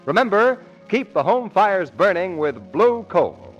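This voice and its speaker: A man's voice: high-pitched, sing-song, unhurried, faint music behind. A man announcing on 1940s radio in a formal, emphatic scripted style. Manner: emphatic, formal sales delivery. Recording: muffled old radio broadcast.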